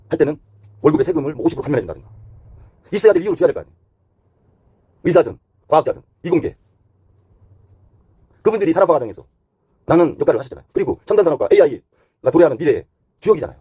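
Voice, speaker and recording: A man delivers current-affairs commentary at a quick pace, earnest and critical as he poses rhetorical questions. His voice is dark and somewhat raspy, and the recording is close and dry. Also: fairly high pitch, no echo. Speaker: host and commentator. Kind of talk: current-affairs opinion monologue.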